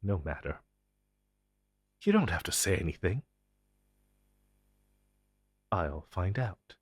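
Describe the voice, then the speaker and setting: A man playing an inhuman monster interrogator in an intense audio roleplay, taunting and condescending, with deliberate theatrical pauses. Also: low-pitched, big pitch swings, breathy edge, no room sound, clean studio sound, full character voice. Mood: sardonic, confident. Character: menacing male monster interrogator, inhuman, smug and possessive.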